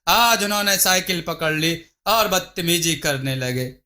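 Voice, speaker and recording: A man narrating a scripted moral story as a storyteller, in a measured, dramatic manner. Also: on the high side, sharp tone.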